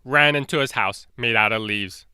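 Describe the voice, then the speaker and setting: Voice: mid-range voice, normal speed, sharp tone, smooth, no room sound, studio quality. A storyteller and drawing teacher talking to children in an informal way, often thinking aloud.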